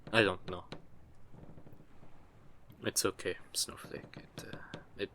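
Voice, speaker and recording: Man playing a low-voiced, guarded mercenary. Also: fairly even intonation, slow, bright, forceful tone, in a whisper, full character voice. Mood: reflective, subdued, then wistful, hesitant. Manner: hesitant, sardonic, teasing. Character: gruff, guarded captured mercenary with a soft side. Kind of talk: intimate audio roleplay acting.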